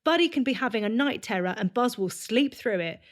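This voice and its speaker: A woman's voice: fairly high pitch, animated, fairly quick. A woman hosting a casual podcast interview, chatty and enthusiastic, speaking into a desk mic over a video call. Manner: chatty, enthusiastic, friendly questioning. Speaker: podcast host. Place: home room, indoors. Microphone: headphones with a large desk mic, video call.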